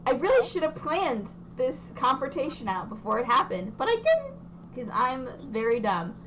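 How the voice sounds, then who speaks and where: A woman's voice: high voice, sing-song, moderate pace, fairly bright tone, far from the mic, a little hiss or hum, voice pushed up, low-quality recording. A woman voicing a scarred man with a robotic hand, attempting a Norwegian accent with a hesitant, self-mocking manner. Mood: sheepish.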